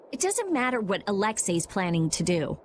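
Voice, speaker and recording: A woman voicing a spirited young girl companion who speaks in a bright, emphatic way. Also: mid-range voice, slight background noise. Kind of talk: video game voice acting.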